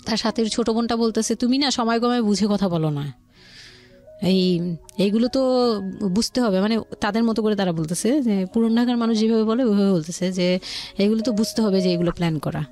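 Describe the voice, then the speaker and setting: A woman with a Bangladeshi accent shares her own story as a guest on a show. Her voice sits at an average pitch. She explains haltingly, circling back over phrases, with music playing faintly underneath. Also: darkish tone. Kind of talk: personal storytelling in an interview.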